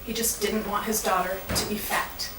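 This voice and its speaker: A woman delivering a rhyming spoken-word monologue in a dramatic, deliberate storytelling manner. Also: mid-range voice, level tone. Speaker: monologue performer. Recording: echoey, noisy room from far off.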